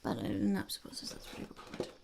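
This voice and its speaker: A woman voicing a sly, blunt female goblin entrepreneur in an ASMR roleplay, whispery and creaky, with a halting, conspiratorial manner. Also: a little mellow, lightly acted. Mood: smug, proud. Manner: speaks of self in third person, slow, halting, conspiratorial.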